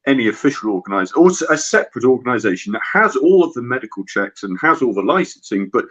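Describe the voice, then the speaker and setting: A man on a sports panel making a measured, reasoned argument in conversational speech. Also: mid-range voice, big pitch swings, fairly bright tone, breathy edge. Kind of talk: sports discussion. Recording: dull, lo-fi connection.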